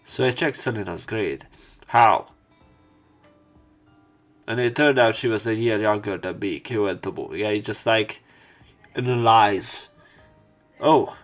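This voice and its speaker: A man's voice: lowish voice, unhurried, pauses often, faint music behind. A man reading a visual novel aloud and adding his own casual commentary. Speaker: let's play narrator.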